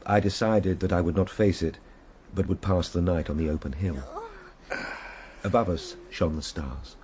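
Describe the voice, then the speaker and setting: An actor playing a reflective Victorian gentleman recounting his ordeal, in measured, formal radio drama narration. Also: sits low, a little hiss or hum, close to an everyday voice. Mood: grave, reflective.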